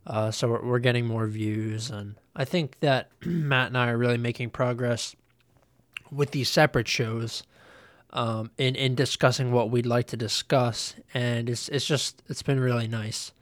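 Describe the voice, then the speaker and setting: A man's voice: medium pitch, steady tone, measured pace, no echo. A young man hosting a casual solo podcast, nasal and congested from a cold, rambling loosely with lots of ums and uhs. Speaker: podcast host.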